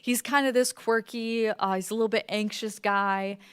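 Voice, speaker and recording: A woman's voice: fairly high pitch, steady tone, moderate pace, clean tone. A woman speaking as a podcast creator in an informal presentation, explaining things conversationally with examples and plenty of "like". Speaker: podcast creator giving a talk. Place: live talk before an audience at a library event.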